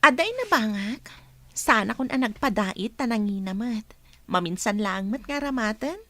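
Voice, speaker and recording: A chatty, teasing young friend acted by a woman in radio-drama style. Her fairly high voice jumps about with curiosity, in a dry, close recording. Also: animated, moderate pace, a bit bright, no echo. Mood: curious, chatty. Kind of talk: Ilocano radio drama acting.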